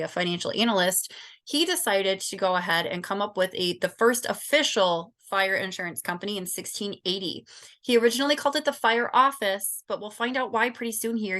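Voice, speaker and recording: A young woman from Southern California talks through a class project in an easy, informal way. She leans on plenty of ums and likes as she goes. Her pitch sits in a middling, ordinary range for a woman. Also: fairly bright tone. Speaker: student presenter. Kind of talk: class presentation.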